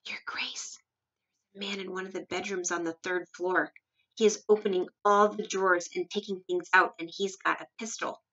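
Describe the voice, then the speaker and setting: A woman voicing a gentle, proud female giraffe in a storybook, speaking softly and carefully. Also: fairly low pitch, steady tone, normal speed, far from the mic, highs cut off. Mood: hushed, urgent. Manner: hushed, careful delivery.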